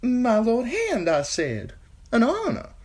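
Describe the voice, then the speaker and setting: Squeaky fawning singer, balding and kettle-bellied, breathy, stammering, audiobook. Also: male voice, very animated, takes it slowly, darkish tone, exaggerated voice. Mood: frightened. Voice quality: high, strained, breathy. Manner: groveling, stammering.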